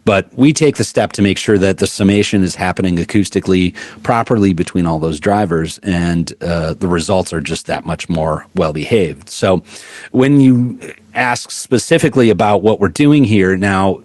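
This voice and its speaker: A man's voice: low-pitched, moderate pace, darkish tone, no echo. A man at a trade show interview, explaining products with many fillers and restarts. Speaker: product specialist. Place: busy trade show floor.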